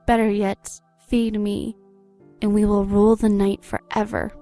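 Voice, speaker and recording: A woman's voice: medium pitch, flat delivery, a little slow, warm tone, faint music behind, close to an everyday voice. A woman playing a hungry vampire wife in an audio drama, coaxing and mocking her husband. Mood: seductive, taunting. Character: seductive, taunting vampire wife, hungry and pleading.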